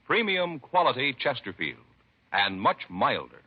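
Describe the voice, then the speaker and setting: A man working as a 1950s radio announcer, reading scripted introductions and ads with deliberate emphasis. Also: medium pitch, sing-song, slightly rough. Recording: lo-fi vintage radio, loud music.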